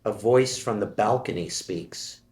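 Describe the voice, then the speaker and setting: A man reading stage directions aloud at a screenplay table read, steady and matter-of-fact. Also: fairly low pitch, a little slow. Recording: echoey room.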